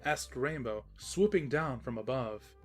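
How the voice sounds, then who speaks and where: Low-voiced woman narrating, very varied pitch. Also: expressive, a little slow, a little mellow, husky, soft background music. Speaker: story narrator. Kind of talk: scripted comedic story narration.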